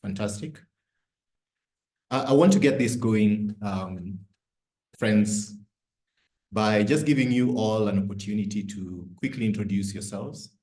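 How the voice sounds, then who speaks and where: A man moderating a virtual business panel over a video call, courteous and somewhat halting as he speaks. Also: fairly low pitch, a little mellow.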